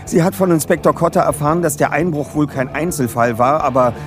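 A man's voice: fairly high pitch, fairly quick, over music, lightly acted. A man playing a self-assured, bookish teenage detective leader in a German audio drama, speaking precisely and formally. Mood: businesslike. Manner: precise, bookish, matter-of-fact.

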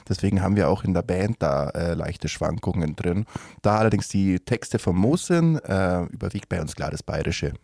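A man's voice: low-pitched, expressive, normal speed, creaky, darkish tone, dry recording. A man, a band member, chatting in an interview with dry, joking wit. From Swabian side of the Lech, Bavaria. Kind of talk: casual interview chat.

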